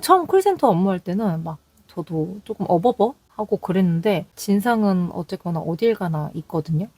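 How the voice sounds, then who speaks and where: A woman's voice: on the low side, lively intonation, normal speed, a little mellow, breathy edge, dry recording. A young woman talking candidly about her call center work in a casual vlog, with a Seoul accent. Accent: Seoul Korean. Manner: chatty, candid storytelling with quoted callers. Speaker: call center agent sharing work stories.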